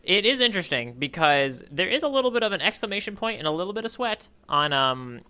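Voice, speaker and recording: A man co-hosting a casual manga readalong podcast, feeling his way through his points in halting phrases. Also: high-pitched, big pitch swings, sharp tone. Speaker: podcast co-host.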